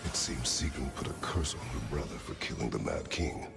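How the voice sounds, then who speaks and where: An actor playing a stern, grimly terse middle-aged warrior in a video game, speaking formally in a deep, gravelly voice. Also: male voice, lively intonation, a little fast, loud music behind the voice, full character voice. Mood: solemn. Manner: terse, formal, without contractions. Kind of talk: video game drama acting.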